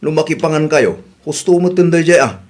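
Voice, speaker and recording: A man's voice: on the high side, animated, a little fast, darkish tone, close to an everyday voice. A man playing a grumbling, reluctant village husband in a comedy radio drama.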